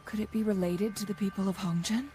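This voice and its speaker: A woman voicing a composed, knowledgeable young guide in a fantasy video game, speaking in a measured, formal, thoughtful way. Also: mid-range voice, fairly even intonation, mellow, slight background noise, natural voice. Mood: calm, resolute. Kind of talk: scripted video game voice acting.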